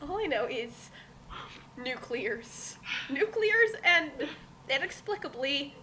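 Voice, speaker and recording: Female commentator, very high and forceful, halting and theatrically exasperated, some background noise. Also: big pitch swings, low-quality recording. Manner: halting, stammering, melodramatically exasperated rambling. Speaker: co-host of a dramatic fan fiction reading. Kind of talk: casual comedic group commentary.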